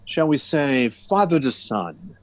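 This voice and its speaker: A man's voice: mid-range voice, big pitch swings. A man acting a genial, pompous older boss with a fatherly manner in an old-time radio sitcom, on a slightly dull recording. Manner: avuncular, pompous, drawn-out.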